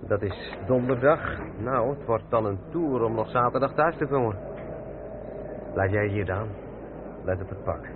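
Man acting steady trucker, noisy narrow-band radio. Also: mid-range voice, measured pace, long pauses, lightly acted. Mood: resigned, practical. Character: practical, steady male truck driver taking charge. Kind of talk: radio play dialogue. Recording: narrow-band old radio recording, clear background noise.

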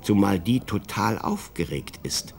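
A man playing the narrator of a children's radio play, telling the story in a measured, wry way. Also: lowish voice, animated, vocal fry, a little mellow, slight background noise, heavily acted.

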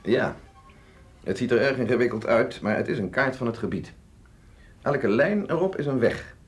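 An actor playing an earnest, learned chief medical officer in a radio drama, husky and didactic, speaking deliberately. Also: male voice, mid-range voice, expressive, faint music behind. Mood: explanatory. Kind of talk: Dutch radio drama acting.

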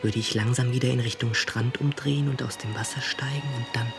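An actor playing a tender, brooding confidant at night, speaking softly and pensively in a radio play. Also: male voice, mid-range voice, fairly even intonation, creaky, music in the background, close to an everyday voice. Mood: pensive, yearning. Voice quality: soft, half-whispered. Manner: hushed, slow, pensive. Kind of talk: German radio play acting.

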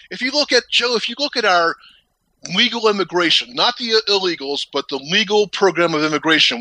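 A man's voice: sits high, pushed and bright, no echo, rough recording. A man speaking in a rhetorical, questioning manner.

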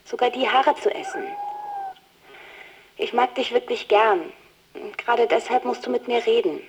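A woman's voice: fairly high pitch, normal speed, muffled recording, lightly acted. An actress playing an anxious, devoted young woman, earnest and pleading, in a radio drama phone message. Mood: worried, pleading.

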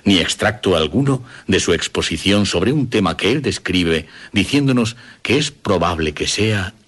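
An actor playing a solemn Victorian editor, reading a literary prologue in a formal, measured and grave manner with a husky voice. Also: male voice, low voice, expressive, a bit bright, full character voice.

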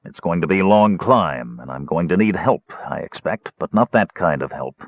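A man voicing a big, weary male warrior who speaks in gruff mutters. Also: low voice, expressive, normal speed, dry recording. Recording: dull, dry lo-fi recording.

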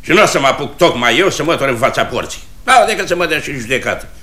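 Stubborn grumbling mayor, declamatory, tense piercing voice, middle-aged, theatrical comedy. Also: male voice, medium pitch, big pitch swings, bright, forceful tone. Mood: stubborn, boastful. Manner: blustering, declamatory. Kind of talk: radio comedy stage acting.

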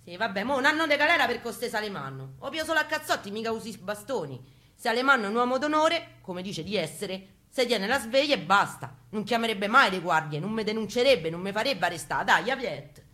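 A woman's voice: mid-range voice, animated, a little fast, bright, forceful tone. A woman voicing a hot-headed young male militant with a Roman accent in a slangy, defiant spoken-word reading. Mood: brash insistence. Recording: live guitar under the voice.

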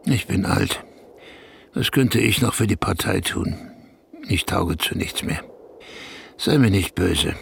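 An actor playing a humble, wounded old man in a radio drama, speaking in a halting, reflective way with a gravelly voice. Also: sits low, expressive, a little hiss or hum, heavily acted. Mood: weary, doubtful. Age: older.

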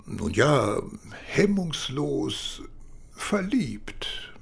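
A man acting in a German radio play as a middle-aged, gentlemanly British police chief who speaks formally and deliberately. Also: a little high, big pitch swings, vocal fry, heavily acted. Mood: measured, thoughtful.

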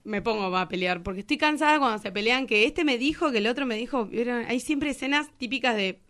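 A woman with an Argentine accent, a guest telling animated anecdotes in slang during a casual radio interview. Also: fairly high pitch, brisk. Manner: animated storytelling, quoting dialogue in slang. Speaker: guest, singer and teacher.

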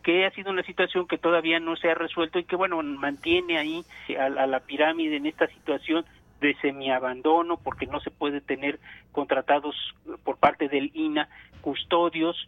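An archaeologist comes through a thin, phone-like line, dry and close with no room around him. His voice sits a little high for a man, and he lays out his account slowly and carefully, stopping often to think aloud. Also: flat delivery, dry recording. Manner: measured, thinking aloud with many fillers. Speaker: archaeologist guest. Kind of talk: explanatory phone interview. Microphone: telephone.

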